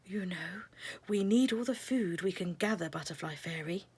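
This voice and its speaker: A woman plays a regal fairy queen bee from a children's storybook. Her voice is soft and a little husky, with a measured, stern authority. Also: fairly low pitch.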